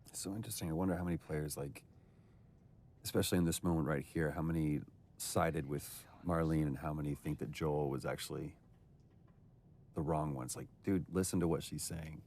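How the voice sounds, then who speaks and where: A man's voice: rumbling low, steady tone, fairly quick, a little mellow. A man, an actor giving casual, reflective commentary on a game he performed in. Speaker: game's lead voice actor.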